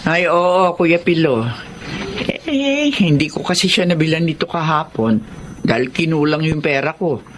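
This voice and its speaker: A woman's voice: deep voice, expressive, measured pace, a little hiss or hum. An actress playing an earnest mother and grandmother who reasons and scolds in a Tagalog radio drama. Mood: calm, explaining. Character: exasperated mother and grandmother of two quarrelling sons.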